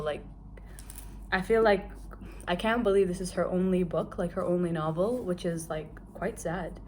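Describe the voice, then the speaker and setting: A woman's voice: mid-range voice, a little mellow, a little hiss or hum. A young adult woman from Kuwait giving a chatty, candid book review, talking casually with lots of filler words.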